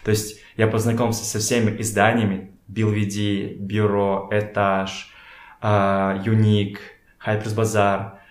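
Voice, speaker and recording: A young man talks casually in an echoey room. He searches for his words and drops in slangy asides, like a guest in a relaxed interview. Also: on the low side, unhurried. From Kazakhstan. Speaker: podcast guest, photographer and art director. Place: indoors in a home.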